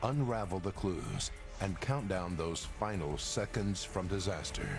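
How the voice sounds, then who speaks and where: A man's voice: sits low, lively intonation, a little slow. A man with an American accent reading dramatic documentary narration in a grave, suspenseful manner. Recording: loud dramatic music underneath. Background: dramatic score.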